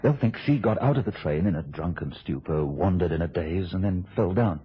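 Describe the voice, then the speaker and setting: A nervy, scheming husband in a radio play, husky and rapid. He stammers politely with a swooping, animated delivery, heard on a dull old radio recording. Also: low-pitched, exaggerated voice. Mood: tense, conspiratorial. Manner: stammering, hurried, over-polite. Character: nervy, scheming husband playing the flustered host. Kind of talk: radio drama acting.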